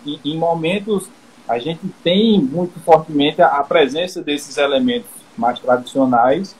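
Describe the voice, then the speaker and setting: A man with a Brazilian Portuguese accent and a slightly high voice, hosting a podcast. He asks long, looping questions and adds his own views, with faint noise behind him. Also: unhurried, low-quality recording. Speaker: podcast host. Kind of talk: casual interview talk.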